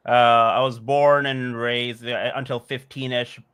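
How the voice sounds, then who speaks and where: A man in his forties from Bangladesh, speaking at a medium pitch for a man. He answers casual interview questions thoughtfully. He meanders, leaning on "like" and "uh". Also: flat delivery, measured pace, fairly bright tone, smooth. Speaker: musician guest.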